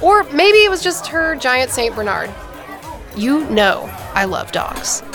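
A woman's voice: high-pitched, sing-song, slow, a bit bright, faint music behind, some chatter behind, full character voice. A woman acting as a candid, teasing older sister in an audio drama, talking in a natural, blunt way. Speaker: actor.

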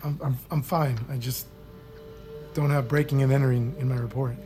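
An actress playing an uneasy, guarded doctor in an audio drama, hesitant yet probing. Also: female voice, extremely low pitch, steady tone, moderate pace, dark tone, soft background music. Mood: unsettled, doubtful. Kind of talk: naturalistic audio drama acting.

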